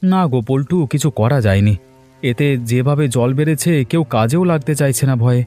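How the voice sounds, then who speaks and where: A man voicing a brisk, impatient village husband in a cartoon, speaking brusquely. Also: medium pitch, lively intonation, warm tone, soft background music, close to an everyday voice. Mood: troubled.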